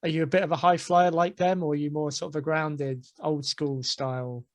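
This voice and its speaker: A man hosting a podcast interview, asking relaxed questions and offering short acknowledgements. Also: on the high side, moderate pace, dry recording. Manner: brief backchannels and easygoing questions. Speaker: podcast host. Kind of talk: casual interview chat.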